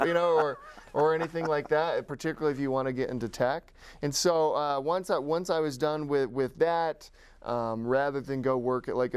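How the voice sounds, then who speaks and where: A man's voice: mid-range voice, clear, resonant tone, no echo. An American man from Utah answering interview questions as a guest in an informal, enthusiastic, conversational way. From Utah, United States. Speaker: guest, executive director of a tech community nonprofit.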